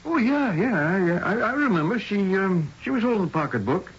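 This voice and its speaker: A man's voice: sits high, sing-song, brisk, slightly rough, heavily acted. An actor playing a shifty, nervous man under questioning in a 1970s radio drama, hesitant, slangy and repeating himself. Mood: nervously agreeable. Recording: dull, noisy old radio broadcast recording.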